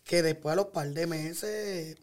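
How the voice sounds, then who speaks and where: A woman joins a relaxed conversation between friends. She speaks bluntly and swears without any fuss, and she tells her stories plainly. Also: deep voice, slow. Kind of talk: casual chat.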